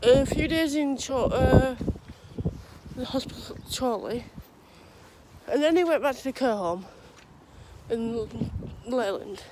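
A woman's voice: sits high, animated, slow. A young woman with a cold, talking through a thick, clogged throat in a hesitant, rambling vlog update while walking outdoors. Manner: rambling, hesitant, many restarts and fillers. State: has a cold, sore throat, runny nose. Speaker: vlogger. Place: outdoors on a street, walking dogs. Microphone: phone held low at arm's length. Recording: phone recording outdoors with traffic noise.

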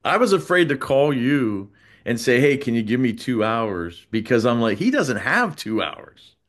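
A man's voice: mid-range voice, big pitch swings, measured pace, fairly bright tone. A man in his fifties with an American accent, hosting a casual interview over a video call. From United States. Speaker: interview host. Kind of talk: casual chat. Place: small indoor room, on a video call.

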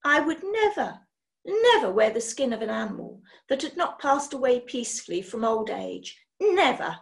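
A woman voicing an eccentric, brisk witch who gushes and turns theatrical, rising to outraged squeaks. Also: big pitch swings, gravelly. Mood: gushing.

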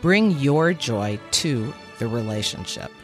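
A woman in her fifties, a podcast host, delivering a mock commencement speech in a measured, deliberate way with emphatic pauses. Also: a bass voice, animated. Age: 50s. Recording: music bed underneath.

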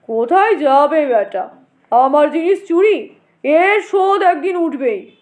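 A woman's voice: high voice, animated, takes it slowly, darkish tone. A woman voicing a wily, vengeful uncle who sounds polite on the surface but scheming, in a comic audio story. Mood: vengeful amusement. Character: wily, vengeful uncle, a male train traveller.

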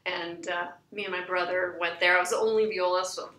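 Bright-voiced woman, echoey interview. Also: fairly low pitch, fairly even intonation. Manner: upbeat, quick affirming replies. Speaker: violist and composer, guest. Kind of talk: casual interview. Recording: echoey room.

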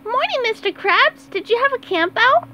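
Woman as a chirpy, very high cartoon sponge boy. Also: big pitch swings, moderate pace, bright, forceful tone, dull audio, full character voice. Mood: cheerful, helpful. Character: cheerful eager young male sea sponge. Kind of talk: amateur comic dub.

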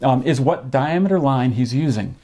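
Middle-aged man explaining in measured phrases. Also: medium pitch, animated, warm tone. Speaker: fishing instructor and presenter. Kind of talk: informal instructional talk to camera. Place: small home office, indoors.

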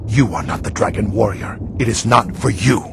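A man voicing a stern, small old red panda kung fu master in a video game, measured in delivery. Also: lowish voice, creaky, background noise. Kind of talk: animated video game voice acting. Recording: loud game music over voice.

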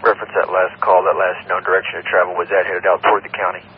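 A man's voice: low-pitched, little pitch movement, quick pace. A man speaking as a police officer on patrol over a two-way police radio. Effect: police radio transmission. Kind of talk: radio traffic from a patrol unit. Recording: muffled police radio with faint noise.

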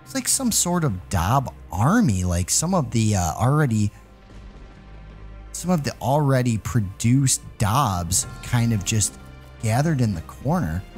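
A man's voice: medium pitch, sing-song, a little slow, darkish tone. The guy you'd hear on a gaming channel, swooping up and down in mock-alarmed play-by-play to his viewers while music plays behind him. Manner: exclamatory, mock-alarmed, addresses viewers as guys. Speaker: gaming video host. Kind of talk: excited gameplay commentary with playful roleplay.

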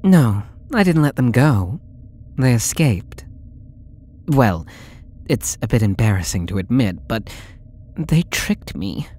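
A man's voice: mid-range voice, big pitch swings, takes it slowly, pauses often, a little mellow, a little hiss or hum. A young man playing a possessive, lovesick stalker under interrogation in an ASMR audio roleplay, coy, pleading and theatrical, drawing out his words. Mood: wistful, sheepish. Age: young adult.

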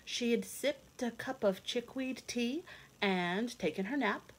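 A woman in her thirties reading a picture book aloud for children in a deliberate, sing-song, teacherly way, with asides to the listeners. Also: on the high side, breathy edge, rough recording. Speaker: read-along storyteller for children. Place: indoor rooms at home.

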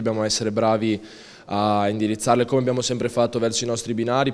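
A young man, a football defender, answering post-match questions in a quick, restless way, often repeating words. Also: on the low side, a little mellow. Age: young adult. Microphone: handheld interviewer mic. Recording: long hallway reverb.

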